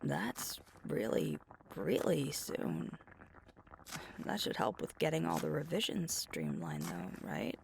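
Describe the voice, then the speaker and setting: A woman acts out a sweet, teasing werewolf girlfriend in an intimate ASMR roleplay. Her voice is thick with creaky fry and swings widely in pitch. She talks slowly and casually, full of affection. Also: huge pitch swings, noisy background, heavily acted. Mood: affectionate. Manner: casual, halting, hushed at times.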